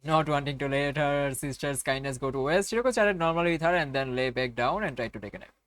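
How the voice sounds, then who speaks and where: A man's voice: fairly high pitch, fast, fairly bright tone, no room sound. A man hosting a game playthrough, reading a visual novel aloud with casual commentary.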